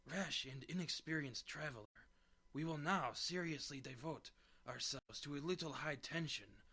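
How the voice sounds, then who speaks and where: A man's voice: a little high, normal speed, pushed and bright, breathy edge, voice pushed up, exaggerated voice. A man voicing a pompous, long-winded python snake who speaks in grand formal phrases. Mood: urgent, pompous.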